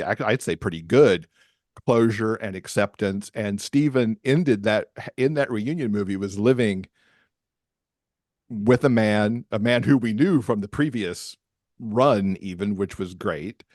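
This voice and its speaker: A man with a fairly low voice talks casually as a podcast guest. He is chatty and conversational, recorded dry with very little room sound. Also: expressive, a little slow, darkish tone, no echo.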